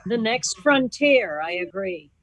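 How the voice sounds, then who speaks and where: A woman's voice: a little high, lively intonation, unhurried, a bit bright, no room sound, rough recording. A woman chatting casually as a club member in an online meeting, on a video call microphone. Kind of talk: casual chat.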